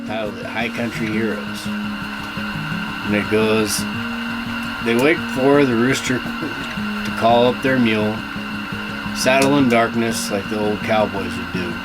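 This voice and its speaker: Slow-paced man reciting verse over music. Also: fairly low pitch, low-quality recording. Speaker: poet reading own poem. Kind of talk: slow rhymed poem recitation. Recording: loud music under the voice.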